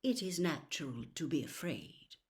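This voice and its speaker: A woman voicing a calm, elderly male wizard headmaster in a storybook, soft, measured and grave. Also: deep voice. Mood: grave, thoughtful. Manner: measured, calm, gentle gravity.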